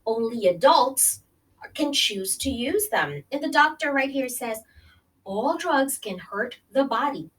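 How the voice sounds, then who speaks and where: Slow, high-voiced woman teacher, slightly distant. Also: lively intonation, a bit bright. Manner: addresses young listeners directly with friendly check-ins like "okay?" and "right?". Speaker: teacher reading aloud to children. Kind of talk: read-along children's health lesson, pausing to explain and question.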